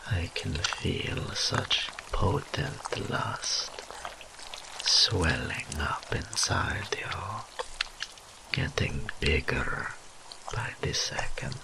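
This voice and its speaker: Man acting a deep, very slow seductive demon incubus. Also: heavily acted, rough recording. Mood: seductive, taunting. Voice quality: breathy, often dropping into whispers. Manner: slow, drawn-out, mock-sympathetic purring taunts. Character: seductive, teasing, dominant male demon incubus. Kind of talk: intimate audio roleplay, ASMR-like.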